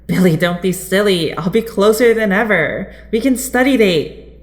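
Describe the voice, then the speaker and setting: A woman playing a sweet, easily flustered young college student in an audio drama, gently playful and hesitant. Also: mid-range voice, animated, normal speed, close to an everyday voice. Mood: fond, flustered.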